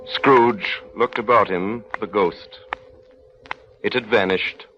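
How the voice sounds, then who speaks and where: A man narrating an old-time radio drama in a solemn, theatrical, measured manner. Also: fairly low pitch, pushed and bright, slight background noise. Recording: dull old radio recording with music.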